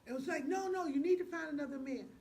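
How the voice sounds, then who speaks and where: A woman's voice: high-pitched, quick pace, warm tone, a little hiss or hum. A woman guest telling her story casually in an interview, emphatic and testifying, quoting dialogue as she goes. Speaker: playwright guest.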